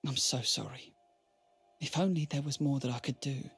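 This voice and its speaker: A man acting an earnest, husky-voiced courtly merchant. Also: medium pitch, normal speed, a bit bright, faint music behind, heavily acted. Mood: grave, gentle. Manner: formal, courtly speech. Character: earnest, deferential male merchant, anguished and self-reproaching. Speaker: voice actor in an audio drama. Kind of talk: dramatic radio drama acting.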